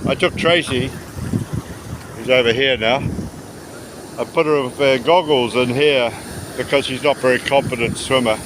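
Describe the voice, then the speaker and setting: Man, medium pitch, casual walking commentary, rambling, halting mid-sentence, outdoor seaside recording, clear wind and sea noise. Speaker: travel vlogger. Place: outdoors on a rocky seashore by tidal pools. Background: wind and waves.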